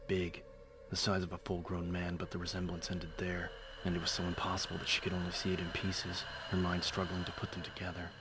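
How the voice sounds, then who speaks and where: A man narrating a horror audiobook in a dramatic scripted style, with measured, suspenseful storytelling. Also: low voice, monotone, noisy background. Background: music.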